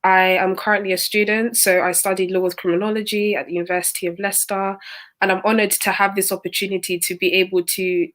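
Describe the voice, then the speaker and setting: A woman's voice: medium pitch, little pitch movement, quick pace, fairly bright tone. A woman, a student introducing herself on a panel in a composed, articulate way. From England. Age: 20s. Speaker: student and youth chair.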